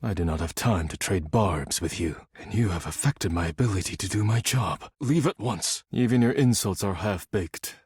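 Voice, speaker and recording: Game role: proud, duty-bound spirit of the fine china. Low, formal, deadpan, very dry close recording. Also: male voice, moderate pace, hardly any pauses, breathy edge, no room sound. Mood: curt, irritated. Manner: formal, clipped, deadpan, disdainful. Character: stern, proud, formal male dishware spirit devoted to duty. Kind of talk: scripted video game character acting.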